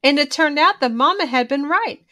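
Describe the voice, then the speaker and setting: A woman reading a children's storybook aloud in an expressive, emphatic way, drawing out key words. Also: high-pitched, normal speed. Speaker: children's book reader.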